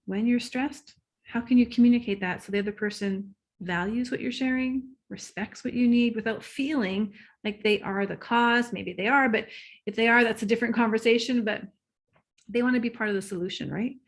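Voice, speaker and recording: Excitable woman coach, quick to laugh. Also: fairly high pitch. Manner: excitable, quick to laugh, loses her thread. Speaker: guest, relationship coach. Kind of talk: casual interview talk.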